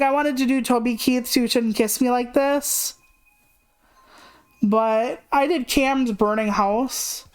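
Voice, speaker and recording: A woman's voice: fairly high pitch, darkish tone, clean tone. A woman livestreamer chatting casually in a candid, rambling, self-deprecating way, swearing freely. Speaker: video game livestreamer.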